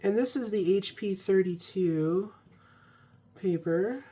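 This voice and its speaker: A woman's voice: medium pitch, slow pace, warm tone, breathy edge. A young woman recovering from a cold, chatting casually with ums and restarts as she reviews an ink. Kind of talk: casual product review vlog.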